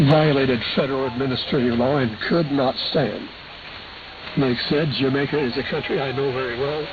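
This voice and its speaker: A man presenting a radio programme, reading scripted news and listener reports in a measured, matter-of-fact way over shortwave radio. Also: medium pitch. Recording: hissy, dull AM shortwave radio reception.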